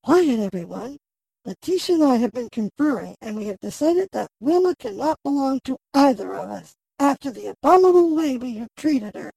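A high, swooping female storybook voice, acted out by a man, bossy and theatrical, with a creaky edge. It suits a witch or magic creature in a fairy tale audio play, recorded dry and close. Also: big pitch swings, normal speed, warm tone, no room sound, full character voice, rough recording. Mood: formal.

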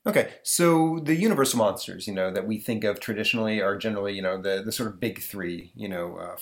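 A man's voice: fairly low pitch, fast. A man hosting a podcast, chatting casually with lots of fillers and restarts. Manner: rambling, many fillers and restarts. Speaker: podcast host. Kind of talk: casual chat.

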